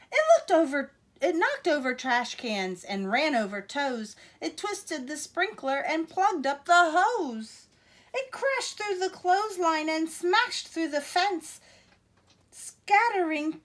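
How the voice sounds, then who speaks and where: A woman reading a picture book aloud to children in an expressive, teacherly way, with asides to young listeners. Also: high-pitched.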